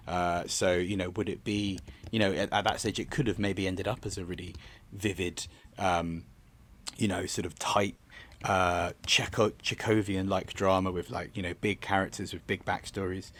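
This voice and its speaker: A man speaking as a theatre director in a reflective interview, halting and often repeating words as he works out his thoughts. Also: low voice.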